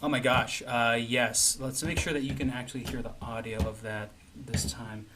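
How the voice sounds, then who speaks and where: A man's voice: medium pitch, fairly even intonation, moderate pace. Casual male co-host, faint noise and clicks. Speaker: co-host and video operator. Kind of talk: casual improvised comedy banter.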